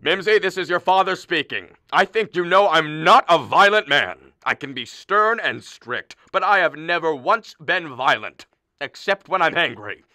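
A man acts out a middle-aged father of the bride in a radio play. He is blustering, sarcastic and argumentative, swinging widely in pitch with a bright, forceful edge, recorded close and dry. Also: lively intonation, normal speed, no echo. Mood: stern, angry. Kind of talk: stage comedy performed as a radio play.

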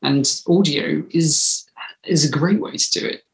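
A woman's voice: sits low, unhurried, creaky. A British woman in her thirties, chatting casually as an interviewed writer. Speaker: interviewed audio drama writer. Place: small room indoors. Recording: echoey room on video call.

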